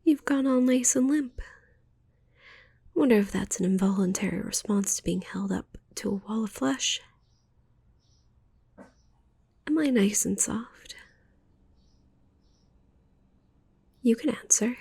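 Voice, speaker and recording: A woman's voice: a little high, moderate pace, warm tone, dry recording. A woman voicing a possessive, sweetly menacing witch in a cooing, condescending ASMR roleplay whisper. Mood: smothering affection. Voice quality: breathy, creaky whisper.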